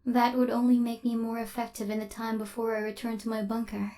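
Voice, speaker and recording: A woman's voice: a little high, completely flat delivery, brisk, mellow, lightly acted. A woman voicing a cold, haughty female killer robot in an ASMR roleplay, speaking in a formal, clinical, stilted way with a hint of hidden fluster. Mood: incredulous, stern.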